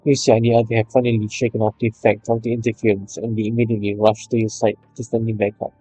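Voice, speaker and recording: A man's voice: fairly low pitch, flat delivery, quick pace, dark tone, faint music behind, rough recording. A man reading a video game's story aloud, stumbling over some lines, with casual commentary. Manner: stumbles over read lines, re-reads phrases. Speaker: video game story narrator and player.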